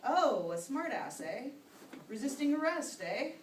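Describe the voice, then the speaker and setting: A blustering puppet cop, put on by a woman barks out orders with sarcasm. The voice is raised and fairly high, echoing in a room some way from the mic. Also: very animated, measured pace, far from the mic, a little hiss or hum. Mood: bossy, suspicious. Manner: barking orders, ends phrases with "eh". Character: bossy, suspicious policeman puppet, blustering and sarcastic.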